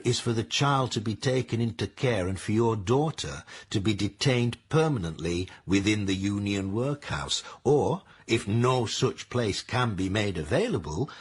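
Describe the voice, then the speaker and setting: Radio drama: solemn Victorian workhouse master, very husky, unhurried formal delivery. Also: male voice, lowish voice, full character voice. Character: grave Victorian workhouse master reading his formal letter. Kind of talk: radio drama letter reading.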